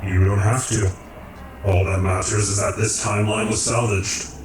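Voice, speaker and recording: A man in a radio drama playing a calm, commanding creator of realities who speaks in measured, formal, cryptic phrases. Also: fairly low pitch, slight background noise, lightly acted. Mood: calm, authoritative. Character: calm, commanding cosmic creator, male, cryptic philosophical overseer. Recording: roomy echo, distant, music underneath.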